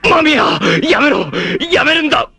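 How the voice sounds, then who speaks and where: A man's voice: very high-pitched, normal speed, vocal fry, pushed and bright, heavily acted. An actor voicing a proud, fierce young male martial arts warrior in a 1980s anime, with a grave, intense and dramatic delivery. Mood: desperate.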